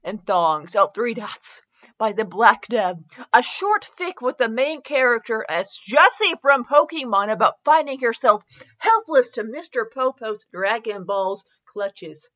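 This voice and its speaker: A woman's voice: on the high side, animated, measured pace, a bit bright, dull audio. A woman hosting a comedy fanfiction reading, giggly and mock-dramatic, breaking off into casual asides. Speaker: podcast host reading fanfiction.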